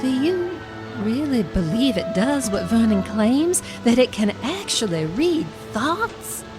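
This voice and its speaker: A woman's voice: a little high, sing-song, slow pace, music in the background. An actress playing a suspicious, determined woman with dramatic delivery in an audio drama. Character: Amelia, suspicious, determined female adventurer.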